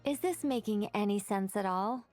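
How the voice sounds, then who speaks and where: A woman's voice: medium pitch, moderate pace, a little mellow, soft background music, close to an everyday voice. A woman playing a wordy, polite, scholarly witch who eagerly explains things in a video game. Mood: earnest explaining.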